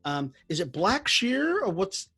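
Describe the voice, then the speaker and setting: A man's voice: high-pitched, very animated, a little slow, creaky, pushed and bright, breathy edge. A man of Jamaican family hosting a casual interview, friendly and full of restarts. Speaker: interview host.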